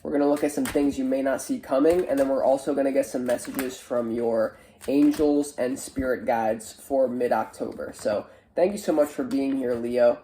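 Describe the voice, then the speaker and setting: Mellow, congested, nasal tarot reader. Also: mid-range voice, steady tone, moderate pace, slight background noise. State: stuffy, congested nose. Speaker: tarot reader, online show host. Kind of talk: casual spiritual tarot reading to camera.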